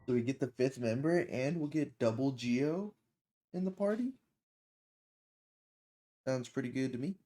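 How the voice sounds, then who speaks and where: A man's voice: medium pitch, animated, unhurried, long pauses, warm tone. A young man giving casual live commentary as a gaming streamer. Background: faint game music.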